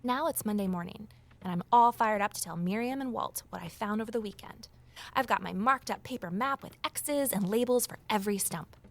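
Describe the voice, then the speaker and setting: A woman's voice: medium pitch, a little fast, natural voice. An actress playing a determined, curious woman investigator in a scripted mystery audio drama, with a wry, conversational manner. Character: determined, curious ex-journalist woman, defiant amateur sleuth. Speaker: narrator and lead character.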